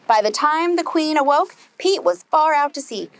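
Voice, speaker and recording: A woman reading a children's story aloud as a preschool storyteller, animated and putting questions to her young listeners. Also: sits high, normal speed.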